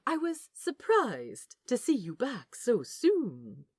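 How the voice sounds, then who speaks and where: A woman voicing a gentle, eager, bookish man with polite, genteel manners and a breathy voice, in a fan fiction audio drama. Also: a little high, wildly swooping pitch, takes it slowly, full character voice. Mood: shyly surprised.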